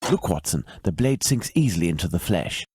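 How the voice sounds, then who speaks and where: An actor playing a keen, self-assured gentleman detective with an English accent in a video game, precise and formal in his manner. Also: low-pitched, sing-song, a little fast, a little hiss or hum. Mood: analytical.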